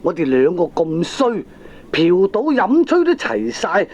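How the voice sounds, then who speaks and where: Roguish Hong Kong gambler dad, swooping delivery. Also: male voice, sits high, huge pitch swings, a little slow, darkish tone, heavily acted. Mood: exasperated, teasing. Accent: Hong Kong Cantonese. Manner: exclamatory, wheedling, argumentative. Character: roguish middle-aged gambling-addict father, foolish, money-grubbing, bickering husband. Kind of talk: 1980s Cantonese comedy radio drama acting. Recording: dull lo-fi old cassette tape.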